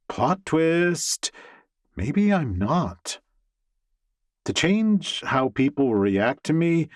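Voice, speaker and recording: A man narrating a first-person novel as an audiobook, expressive and wry. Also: on the high side, no room sound, clean studio sound.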